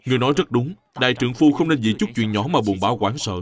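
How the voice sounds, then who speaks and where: An actor playing a principled young scholar who speaks formally and moralizes sternly, in an animated drama. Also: male voice, medium pitch, racing, lightly acted. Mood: composed.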